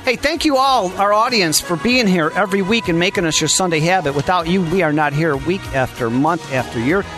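A man's voice: high voice, animated, brisk, music in the background. A man hosting a live radio talk show from a studio, with an effusive, exclamatory, promotional patter.

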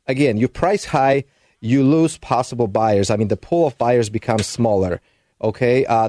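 A man's voice: mid-range voice, dark tone, dry recording, studio quality. A man speaking as a realtor guest on a radio show, conversational and full of fillers.

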